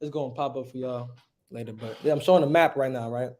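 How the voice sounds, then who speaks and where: A man's voice: medium pitch, steady tone, fast, a little mellow. A man teaching a livestreamed religious history class, reading passages aloud and preaching emphatically, often checking with "right?".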